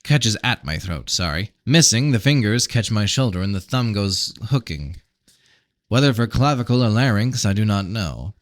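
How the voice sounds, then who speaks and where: Male audiobook reader, lowish voice, fantasy narration, dramatic and measured, dry sound. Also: animated, no room sound. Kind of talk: scripted narration of a fantasy novel.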